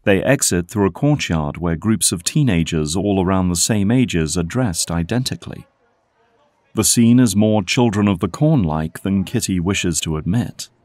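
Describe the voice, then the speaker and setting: A man's voice: low voice, expressive, normal speed, a little mellow, no echo. A man narrating a scripted audio drama as its storyteller.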